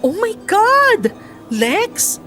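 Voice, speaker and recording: An actress playing an excitable, gushing young woman, a best friend in a radio drama. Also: extremely high pitch, very slow, exaggerated voice. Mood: excited. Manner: exclamatory, gushing, Taglish. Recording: music behind the voice.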